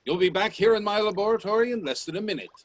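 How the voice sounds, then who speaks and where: An eccentric gentleman inventor in a radio play, with a fairly high male voice. He speaks formally and emphatically, utterly sure of himself and grandly insistent. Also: animated, quick pace. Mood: brisk, commanding.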